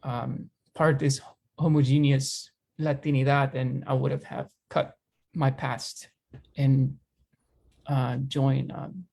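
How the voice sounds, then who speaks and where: A young man co-hosting a podcast discussion, speaking haltingly and searching for words, with many fillers. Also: medium pitch, little pitch movement, creaky, a little mellow, husky. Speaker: podcast co-host and teacher. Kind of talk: casual discussion.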